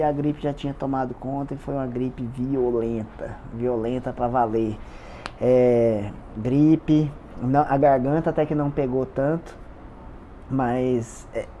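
A man's voice: mid-range voice, dark tone, a little hiss or hum. Congested man chatting casually. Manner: chatty, repeats words for emphasis. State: congested, stuffy nose. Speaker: vlogger documenting a fitness challenge. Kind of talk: casual confessional vlog.

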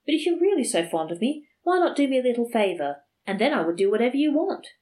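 A woman voicing a flirtatious, sharp-tongued peasant wife who bargains and scolds. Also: a little high, big pitch swings, quick pace, pitched above the performer's own voice. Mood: teasing.

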